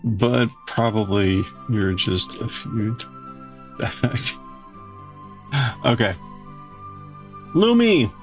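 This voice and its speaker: A man's voice: lowish voice, sing-song, extremely slow, long pauses, soft background music. A man hosting a casual livestream, chatting in a rambling, exclamatory way as he greets and answers viewers. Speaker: livestream host. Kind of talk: casual livestream chat, crafting and reading out viewer chat.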